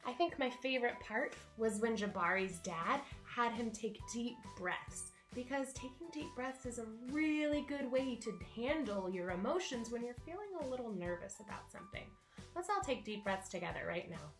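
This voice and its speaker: A woman's voice: fairly high pitch, animated, moderate pace. A woman presenting a story time for children, speaking simply and encouragingly, with music behind her. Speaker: camp director reading picture books to children.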